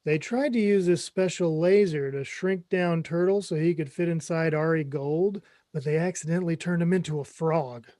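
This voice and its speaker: A man's voice: a little high, normal speed, few pauses, dark tone, no echo, clean studio sound, natural voice. A man playing a blunt, self-important amateur screenwriter in a comedy table read. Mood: enthusiastic.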